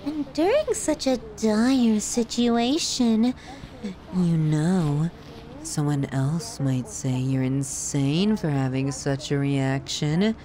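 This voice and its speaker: A woman's voice: a little high, darkish tone. A woman voicing an obsessive, doting young yandere girlfriend in an ASMR roleplay, with a cutesy, giggly manner and an undertone of menace. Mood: playful, clingy.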